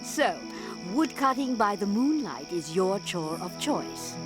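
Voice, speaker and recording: Slow, lilting, motherly village dame played by a woman. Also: medium pitch, big pitch swings, over music. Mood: fond, amused. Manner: gentle, old-fashioned formal speech. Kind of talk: audio drama acting.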